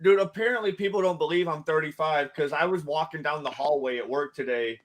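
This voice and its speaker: A laid-back man in his mid-thirties telling stories in a casual chat. His voice sits a little high for a man, and he leans on "dude" and "like" as he talks. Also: a little fast. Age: 30s.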